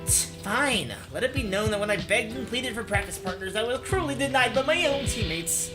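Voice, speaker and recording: Man as a young male gamer in a visual novel: high-pitched, childish, theatrical, petulant, music behind. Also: lively intonation, brisk. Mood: sulky, dramatic. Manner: dramatic, petulant complaining.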